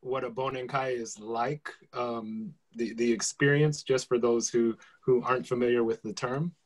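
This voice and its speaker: A man's voice: medium pitch, fairly even intonation, normal speed, poor audio. A man, moderating a casual panel and prompting guests with questions. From United States. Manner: friendly, prompting, with some restarts.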